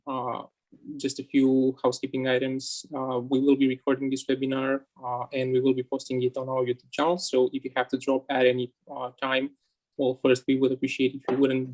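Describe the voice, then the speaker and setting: A man's voice: medium pitch, a little mellow, rough recording. A man moderating a webinar over a video call, hesitant and polite as he runs through welcome and housekeeping.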